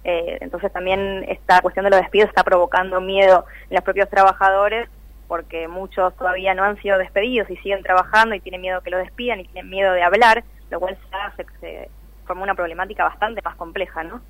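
An Argentine woman explains things in a spontaneous radio interview, earnest and conversational. Her voice sits a little low for a woman, and the sound is very dry, with no room around it. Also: fairly even intonation, brisk, dry recording, highs cut off. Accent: Argentine, Rioplatense. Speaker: interviewee, layoff-tracking activist.

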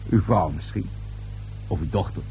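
An actor playing a dryly suggestive police inspector in a radio play, with measured, insinuating questioning. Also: male voice, sits low, mellow, soft background music, rough recording. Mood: dry, probing.

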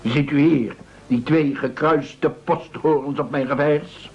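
On a dull old radio recording, a veteran postman full of professional pride. The husky voice sounds officious and dignified, quick to take offence. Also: a little high, fairly even intonation, full character voice. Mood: proud. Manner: officious, dignified, easily affronted. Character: dutiful, huffy, proud veteran male postman. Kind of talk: radio play acting.

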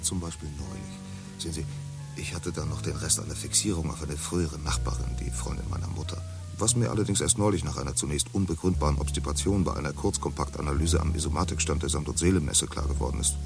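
A man's voice: very deep voice, fast talker, gravelly. An actor playing an earnest man of a future society who rambles on in pseudo-technical jargon, partly half-whispered. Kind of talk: radio drama acting. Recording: music under the voice.

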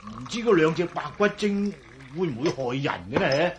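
A man's voice: fairly high pitch, lively intonation, moderate pace, highs cut off, very noisy, close to an everyday voice. An actor playing a fussy, superstitious old male servant in a radio drama, flustered and stammering with frequent sighs, in Hong Kong Cantonese. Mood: fussy, anxious. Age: older.

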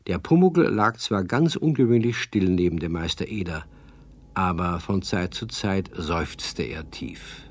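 A man narrating a children's radio play as a calm storyteller, reading scripted narration. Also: deep voice, measured pace, no echo. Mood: storytelling.

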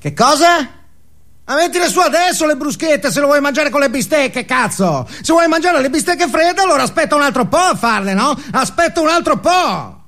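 Man acting a smug suburban dad, very animated. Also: very high-pitched, normal speed, voice pushed up, heavily acted. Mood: exasperated. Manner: showy, chummy, repeatedly seeking agreement. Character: bragging well-off family man at his barbecue, irritable, smug. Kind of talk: theatrical comic stage monologue.

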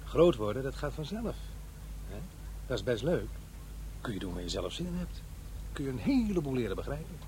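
Man playing a mellow, musing middle-aged father. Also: medium pitch, very animated, a little fast, pauses often, a little hiss or hum, natural voice. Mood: tender, coaxing. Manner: musing, hesitant, talking to himself. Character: middle-aged divorced father, reflective, wry, recounting his memories. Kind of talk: radio drama monologue, conversational and introspective.

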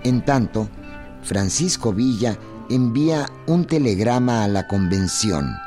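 A man giving measured, formal narration for a scripted historical radio drama. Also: medium pitch, animated, warm tone. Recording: music behind the voice.

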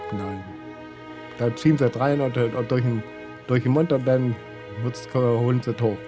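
A man's voice: medium pitch, normal speed. A man being interviewed, answering literally in simple, unscripted phrases. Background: music.

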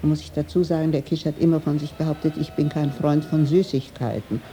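An elderly woman with a low, gravelly voice, telling anecdotes in a wry, emphatic way. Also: steady tone, brisk, dark tone, a little hiss or hum. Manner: wry, emphatic storytelling with rhetorical tags. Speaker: interviewee, writer sharing recollections. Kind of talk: spontaneous anecdotal recollection.

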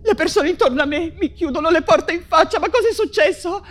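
An actress playing an anguished, feverish persecuted writer and mother in an intense theatrical radio monologue, halting and repeating her words. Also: very high voice. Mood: bewildered, distressed. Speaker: stage actress.

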